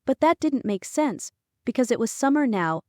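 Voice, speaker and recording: A woman's voice: fairly high pitch, lively intonation, normal speed, a little mellow, no echo. Female fantasy narrator, dry recording. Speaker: audiobook narrator. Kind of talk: scripted fantasy narration with character dialogue.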